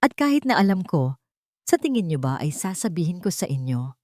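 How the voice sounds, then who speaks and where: A woman voicing an older housemaid who is calm, polite and quietly defiant in a narrated audio drama. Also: lowish voice, a little fast, no room sound, studio quality. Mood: calm defiance. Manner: quietly firm, respectful.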